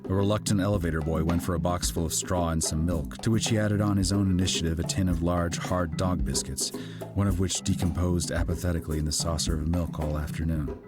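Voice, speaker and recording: Low-voiced man narrating over music. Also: steady tone, brisk. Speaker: audiobook narrator. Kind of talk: literary scripted narration. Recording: music behind the voice. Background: background music.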